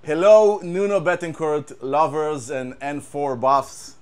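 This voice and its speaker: A man with an Israeli accent, a guitar tech, talking casually and haltingly, repeating words and using many fillers. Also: on the high side, steady tone, a crowd in the background. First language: Hebrew. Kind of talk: casual show-and-tell chat.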